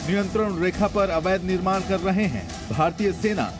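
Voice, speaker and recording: An Indian man delivers scripted news narration as a reporter's voice-over. His voice is notably high for a man. Music runs clearly behind every line. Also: normal speed. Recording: voice-over with prominent music bed.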